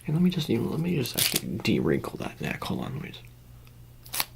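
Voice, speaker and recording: Creaky soft-spoken ASMR roleplayer with lively pitch. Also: a little fast, very noisy. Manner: hesitant, rambling, casual reassuring asides. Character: offhand handler preparing an alien for public exposure.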